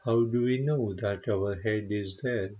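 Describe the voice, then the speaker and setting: A man leading a guided meditation as a teacher, speaking gently and unhurriedly with frequent pauses and a husky voice. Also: on the low side, phone-quality sound. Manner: gentle, unhurried, pausing often.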